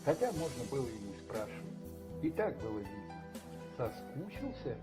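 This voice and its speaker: A man narrating a radio play as scripted, measured storytelling. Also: mid-range voice, big pitch swings, roomy sound, distant mic, over loud music.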